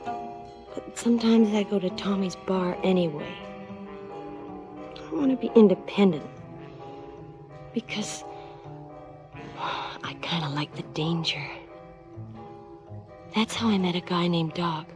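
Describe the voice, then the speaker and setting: An actress playing a crime boss's wistful, wary mistress in a seventies radio drama, confiding in hushed tones that often slip into whispers. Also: female voice, on the low side, a little slow, long pauses, faint music behind, lightly acted. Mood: matter-of-fact, confiding. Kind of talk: 1970s radio drama acting, intimate confessional monologue and dialogue.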